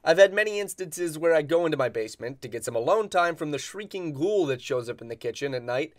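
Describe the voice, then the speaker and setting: A male actor plays a neurotic, wealthy mansion owner in an audio comedy. He rambles through his complaints with dramatic exasperation, his pitch swinging widely. The sound is close and dry. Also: lively intonation, quick pace, no room sound, close to an everyday voice. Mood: rambling, flippant. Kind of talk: audio comedy sketch acting.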